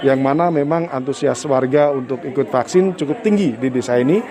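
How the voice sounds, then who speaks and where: Field reporter, male, mid-pitched, measured formal delivery with fillers, outdoor location. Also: crowd noise. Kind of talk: on-location news report and interviews. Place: outdoors at a village hall.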